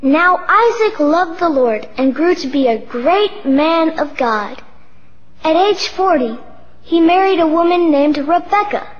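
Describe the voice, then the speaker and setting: A woman's voice: very high-pitched, expressive, unhurried. A woman reading scripted narration for a children's Bible story. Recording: dull audio with music behind. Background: music.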